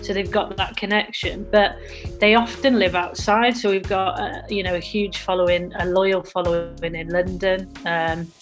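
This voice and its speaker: Low-voiced woman with flat delivery. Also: normal speed. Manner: conversational, frequent restarts and fillers like "sort of" and "really". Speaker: social enterprise co-founder. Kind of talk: interview answers. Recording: music playing under the voice.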